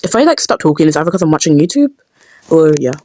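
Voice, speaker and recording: A woman's voice: low voice, big pitch swings, quick pace, dark tone. A woman chatting casually and playfully muttering to herself as she makes videos. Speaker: video creator making comic dubs. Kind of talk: casual behind-the-scenes chat.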